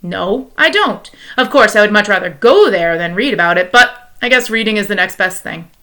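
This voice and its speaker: A woman's voice: medium pitch, moderate pace. A woman reading aloud and voicing an eager, dreamy middle-aged man who loves talking about penguins. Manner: eager, wondering, lively.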